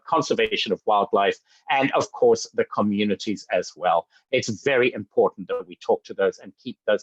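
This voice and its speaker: An eager man from Zambia presents with bubbly enthusiasm and a steady mid-range pitch. His speech is full of little fillers and restarts. The sound is dry and a bit dull, like a lo-fi web call. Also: slightly rough, dry recording. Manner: energetic, fast-talking, frequent fillers and restarts. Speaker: sales director presenting.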